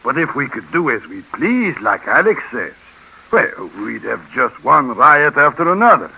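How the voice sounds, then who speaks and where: An actor playing a doubtful, hesitant Eastern European exile in a 1950s radio drama, talking in a folksy way. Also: male voice, mid-range voice, big pitch swings, measured pace, gravelly, bright, forceful tone, husky, exaggerated voice, poor audio.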